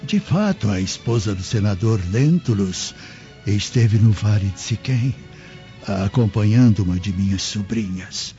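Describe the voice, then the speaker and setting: Gentle old holy uncle, radio soap, lowish voice, really slow and solemn, muffled recording. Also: male voice, lively intonation. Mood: calm, firm. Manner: slow, solemn, measured preaching delivery. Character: gentle, devout, steadfast elderly Samaritan uncle. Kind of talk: Brazilian radio drama acting. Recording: dull lo-fi audio, music behind.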